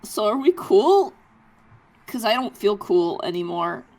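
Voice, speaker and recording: A woman's voice: medium pitch, sing-song, measured pace, darkish tone. Woman role-playing at a tabletop game. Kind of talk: casual in-character role play.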